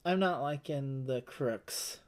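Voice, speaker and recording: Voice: medium pitch, slow pace. A casual, talkative game co-host with a middle-register voice. They explain things readily and talk through options in an easy conversational style, as if across a table from a friend. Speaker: board game playthrough co-host.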